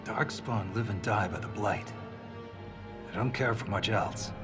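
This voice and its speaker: A man playing a pragmatic, skeptical dwarf soldier in a video game, talking in a blunt, wry, gruff way. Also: fairly low pitch, measured pace, close to an everyday voice. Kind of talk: video game cutscene dialogue. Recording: game music behind the voice. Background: music.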